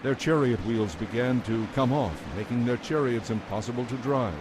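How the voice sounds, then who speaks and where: A man narrating scripture as a dramatized reading, in a measured, declarative manner. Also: medium pitch, background noise. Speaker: Bible narrator.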